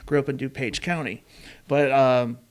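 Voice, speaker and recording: A man's voice: mid-range voice, steady tone, normal speed. A man in his thirties with a Chicago-area Midwestern accent, hosting a casual podcast and telling his story in a rambling way, full of "uh" and "like". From Chicago area, Illinois. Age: 30s. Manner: rambling, storytelling, many "uh" and "like" fillers. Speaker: podcast host.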